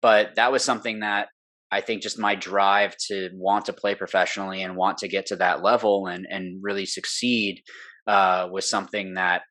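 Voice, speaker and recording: A man's voice: low-pitched, little pitch movement, normal speed, pushed and bright. A young man, a guest on an interview, talking at length in a rambling, earnest way with many fillers, from a home studio room. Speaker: guest, pro paintball player. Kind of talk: casual interview talk.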